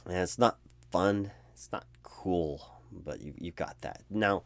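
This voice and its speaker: Very deep, gravelly man, jaded and apathetic. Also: takes it slowly, no room sound. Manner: apathetic, low-energy, jaded; rambling, sardonic asides mimicking corporate talk. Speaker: ASMR roleplayer as a recruiter. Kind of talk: conversational ASMR roleplay monologue.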